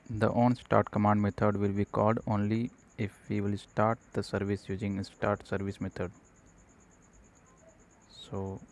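Low, flat-voiced man giving a tutorial. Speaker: programming tutorial presenter. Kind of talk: unscripted screencast tutorial narration. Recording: noticeable background noise.

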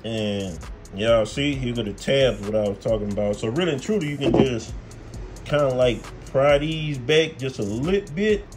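A man's voice: mid-range voice, moderate pace. American man, folksy how-to chat over music. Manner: folksy, thinks aloud while working. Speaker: host, car mechanic. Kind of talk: casual hands-on how-to chat to camera.

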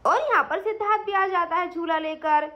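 A woman's voice: very high voice, moderate pace, lo-fi sound. A woman storyteller giving scripted narration for a children's story.

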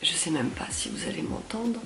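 Hoarse young woman with a cold. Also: fairly low pitch, expressive, normal speed, over music. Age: young adult. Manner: chatty, self-mocking, rambling with fillers. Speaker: vlogger. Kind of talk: casual vlog chat to camera. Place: living room at home.

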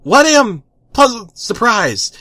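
A man's voice: very high voice, sing-song, takes it slowly, sharp tone, no echo, pitched above the performer's own voice, full character voice. A man voicing a timid, exasperated male superhero sidekick, stammering and apologetic, in a comic story reading. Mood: nervous, apologetic.

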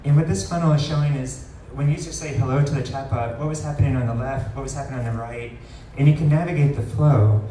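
A man presenting a live software demo at an indoor meetup, explaining informally with frequent pauses, picked up by a distant camera. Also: mid-range voice, fairly even intonation. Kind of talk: live product demo talk. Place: large wood-ceilinged meetup room, indoors. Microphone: far camera recording the room. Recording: roomy, distant pickup with faint noise.

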